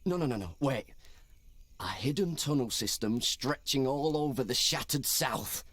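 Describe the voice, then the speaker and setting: A woman's voice: extremely low pitch, animated, moderate pace, no echo. An actress playing a playful, teasing, wheedling woman adventurer in a video game cutscene. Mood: playfully excited.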